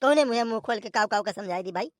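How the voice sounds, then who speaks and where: A woman's voice: fairly high pitch, lively intonation, quick pace, dry recording, clean studio sound, natural voice. A woman voicing a weary, grumbling elderly father in a cartoon. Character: exasperated elderly father, trying to calm the family.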